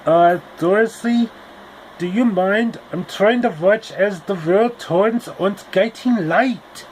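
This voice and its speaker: A cranky man who won't look away from his soap opera, brushing people off in a high, drawn-out voice, as a man puts him on. He talks in an impatient, rambling way. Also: a little hiss or hum, rough recording. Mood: annoyed.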